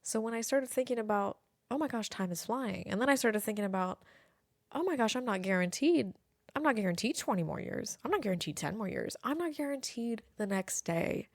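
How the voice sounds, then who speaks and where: A woman's voice: a little high, no room sound, clean studio sound. A woman in her late twenties chatting casually as a podcast host, reflective and repeating phrases for emphasis. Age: late 20s. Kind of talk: casual solo podcast chat. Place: at home near an airport.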